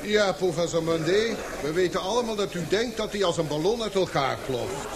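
A man's voice: sits high, animated, normal speed, crowd noise. A man acting an eminent, impatient and dismissive scientist and expedition leader in a radio play, curt and formal.

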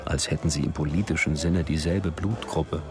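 An actor playing a wry, observant thriller narrator, telling the story in a dry, sardonic manner. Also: male voice, extremely low pitch, fairly even intonation, moderate pace. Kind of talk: scripted radio drama narration.

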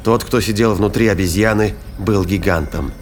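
A man's voice: lowish voice, moderate pace, slight background noise. A man giving scripted, dramatic narration of a science fiction story as its narrator. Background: music.

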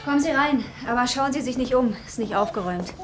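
Young mother, worried, guarded, brisk delivery, higher-pitched, natural radio play dialogue. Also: lively intonation, over music, low-quality recording. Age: young adult. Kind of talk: naturalistic German radio crime drama.

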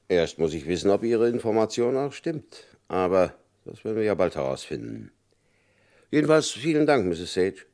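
An actor playing a stern middle-aged FBI agent who gives clipped, commanding orders in an old radio crime play. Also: male voice, fairly low pitch, sing-song, normal speed, darkish tone. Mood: brisk.

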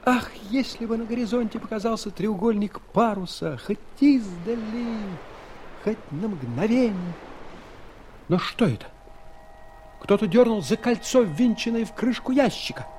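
Earnest male adventurer, fairly high voice, full of wonder, theatrical storybook radio play. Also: unhurried. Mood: excited longing. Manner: expressive, exclamatory, reflective. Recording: 1970s studio audio play, background noise.

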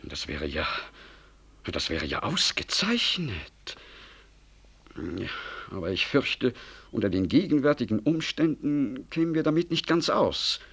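A self-important politician of radio drama, a man who hedges and orates. His voice sits at a middling male pitch and moves in lively, wide sweeps. The delivery is rhetorical and pompous, in an old-fashioned 1960s radio manner. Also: measured pace, breathy edge, exaggerated voice. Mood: cautious, hedging. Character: smooth, self-important politician and doctor, evasive, grandiloquent.

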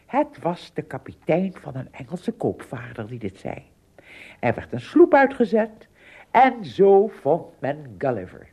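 Low, expressive woman storyteller. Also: a little slow, slightly rough. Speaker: narrator. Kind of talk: scripted storytelling narration for radio play.

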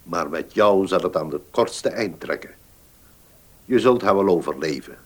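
A very low, husky voice belongs to this elderly notary in a radio play. He is weary and courteous, choosing formal words slowly and with hesitation. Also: animated. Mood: reminiscing, measured. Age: older. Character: weary old village notary, courteous and measured. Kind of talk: Dutch radio drama acting.

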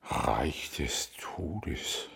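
Raspy, low, grim investigator. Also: male voice, expressive, sharp tone, full character voice. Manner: slow muttering, partly whispered. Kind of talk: German radio crime drama acting.

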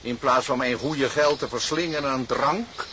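An actor playing an older, thrifty sea captain in a radio drama, speaking in a measured, paternal way with hums and repeated murmurs. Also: male voice, mid-range voice, sharp tone, slight background noise. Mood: hospitable, frugal.

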